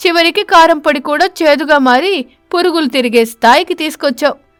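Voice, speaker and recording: A woman voicing an exasperated, henpecked husband crow in an animated story, grumbling with sarcasm. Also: high-pitched, fairly quick, no echo, soft background music, natural voice.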